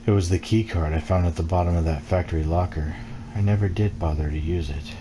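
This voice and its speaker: A man with a deep voice reads a first-person game story aloud. His delivery is measured and brooding, like dramatic narration. There is clear noise and game ambience behind him. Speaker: let's play gamer reading game text aloud.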